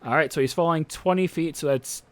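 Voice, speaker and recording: A man acting as game master, narrating a tabletop game off the cuff and pausing to check rules aloud. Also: a little high, a little hiss or hum.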